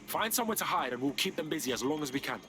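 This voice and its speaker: A man's voice: on the high side, lively intonation, racing, over music, close to an everyday voice. An actor playing a cocky, streetwise young male rebel with a London accent and a brash, slangy manner. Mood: brash, urgent.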